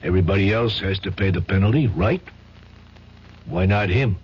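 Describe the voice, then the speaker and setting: A man's voice: sits low, moderate pace. An actor playing a tough, slangy, loyal and menacing streetwise man in an old radio drama. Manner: gruff, slangy, wisecracking. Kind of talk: 1970s radio drama acting. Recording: dull old radio broadcast.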